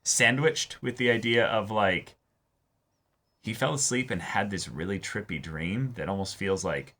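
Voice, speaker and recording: A man's voice: lowish voice, measured pace, bright, forceful tone. A man co-hosting a casual anime discussion, rambling and often repeating his phrases. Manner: rambling, repeats phrases, acts out quoted dialogue. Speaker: co-host of anime reaction show.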